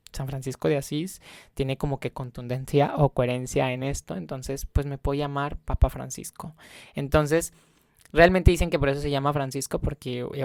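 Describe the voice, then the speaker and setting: A man's voice: mid-range voice, clear, resonant tone, no echo. A young man telling a casual travel vlog story, rambling with many fillers and self-corrections. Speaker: travel vlogger.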